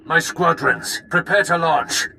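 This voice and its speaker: A man's voice: fairly high pitch, expressive, a little slow, gravelly, pushed and bright, a little hiss or hum, full character voice, low-quality recording. A man playing a bloodthirsty, bombastic Sith war lord who orates with grandiose menace in a video game. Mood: imperious, menacing. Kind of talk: theatrical video game acting.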